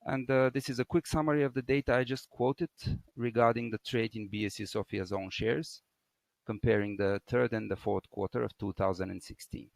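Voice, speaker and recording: A man's voice: medium pitch, steady tone, brisk, creaky, no echo, low-quality recording. A man delivering a prepared business presentation of company figures. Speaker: company presenter handing over to colleague.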